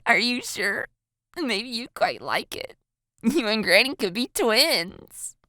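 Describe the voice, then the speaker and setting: A woman's voice: a little high, very animated, slow pace, sharp tone, dry recording, clean studio sound, voice pushed up, full character voice. A woman voicing an amused, teasing teenage schoolgirl in a dramatized audio story, gasping and breaking into giggles as she talks. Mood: giggly teasing.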